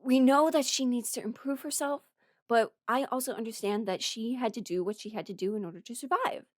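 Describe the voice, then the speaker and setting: Higher-pitched woman rambling casual opinions. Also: fairly quick, dry recording, studio quality. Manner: candid, apologetic hedging of blunt opinions. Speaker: host ranking audio drama characters.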